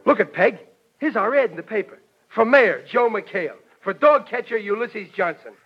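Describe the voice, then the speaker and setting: A man's voice: high-pitched, lively intonation, moderate pace, music in the background. An actor playing a blustering, well-meaning working-class American father in a 1940s radio comedy, folksy and bumbling. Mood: blustering, confident. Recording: dull vintage radio recording.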